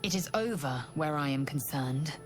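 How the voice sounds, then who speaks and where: Fierce alien warrior woman, proud, clipped and disdainful, lowish animated voice, video game. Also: moderate pace, soft background music. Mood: curt, stern. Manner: clipped, haughty, formal phrasing.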